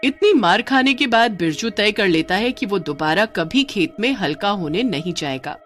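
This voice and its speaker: A woman telling a scripted story as narrator, in an expressive storytelling style. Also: mid-range voice, moderate pace, faint music behind.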